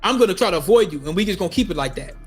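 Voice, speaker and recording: A middle-aged man with a notably high voice tells a personal story. He talks casually and expressively, circling back on phrases to stress them. Faint music plays behind him. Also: very fast, breathy edge. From United States, Minnesota. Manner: animated retelling with acted-out quotes, repeating phrases for emphasis. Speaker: storyteller vlogger.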